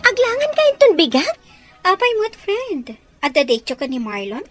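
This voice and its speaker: A woman's voice: extremely high pitch, huge pitch swings, normal speed, music in the background, heavily acted. A woman with an Ilocano accent playing an inquisitive, supportive young friend in a radio drama. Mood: curious, concerned.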